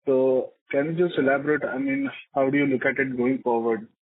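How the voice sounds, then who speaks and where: A man's voice: mid-range voice, steady tone, brisk. A man, a fund analyst, politely asking executives questions on an earnings call and calling them sir. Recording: tinny phone line with clear noise.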